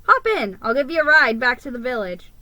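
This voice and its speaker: A woman's voice: sits high, sing-song, brisk, bright, forceful tone, no echo, heavily acted. A woman voicing a brave, kindly male mouse adventurer who calls out earnestly in a storybook. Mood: friendly.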